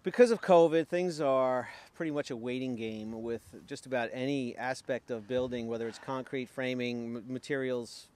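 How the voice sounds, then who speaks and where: A man's voice: mid-range voice, moderate pace, few pauses, a little mellow. A middle-aged man from Long Island, explaining his building plans in a plain, practical way, recorded outdoors by a nearby camera. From Long Island, New York. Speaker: homesteading vlogger. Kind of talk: casual chat. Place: outdoors by a tent garage.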